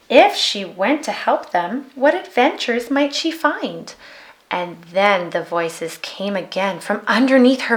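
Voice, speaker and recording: A woman reading a children's picture book aloud with expressive narration. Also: mid-range voice, rough recording. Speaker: storybook reader.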